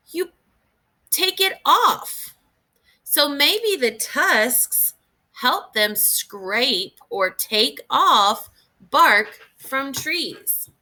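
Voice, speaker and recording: A woman teacher reading a children's nonfiction book aloud in a deliberate, expressive way, pausing to think aloud for young learners. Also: sits high.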